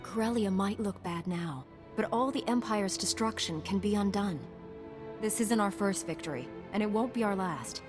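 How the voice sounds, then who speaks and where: A woman voicing an earnest, resolute Jedi Knight hero in a video game, with a measured, firm way of talking. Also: mid-range voice, close to an everyday voice. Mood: earnest resolve. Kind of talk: video game cutscene acting. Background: game music.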